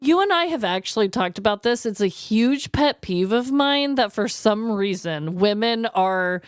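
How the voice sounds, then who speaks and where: A woman co-hosting a podcast in casual comedic chat, emphatic and animated, joking crudely. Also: mid-range voice, measured pace, clear, resonant tone, no echo, clean studio sound. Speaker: podcast co-host.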